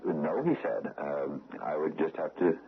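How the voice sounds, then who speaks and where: An actor playing a timid, fussy middle-aged bookkeeper who stammers nervously, in an old radio drama. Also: male voice, a bass voice, lively intonation, moderate pace, slightly rough, full character voice. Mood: fretful. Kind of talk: 1970s radio drama acting. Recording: tinny old radio broadcast.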